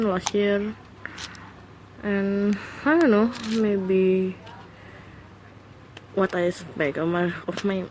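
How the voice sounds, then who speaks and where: A woman's voice: medium pitch, a little mellow, slight background noise, low-quality recording. A woman whose first language is not English, hosting her first solo podcast, talking hesitantly with many fillers and restarts, recorded alone on a phone. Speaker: podcast host. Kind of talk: casual solo podcast monologue. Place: alone at home.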